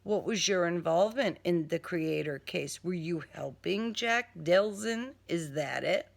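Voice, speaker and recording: A woman's voice: on the low side, animated, a little slow, gravelly, full character voice. A woman playing a blunt, slangy, sarcastic heroine who stands her ground in a crime audiobook. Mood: angry, defiant. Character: defiant, sarcastic streetwise woman working for police.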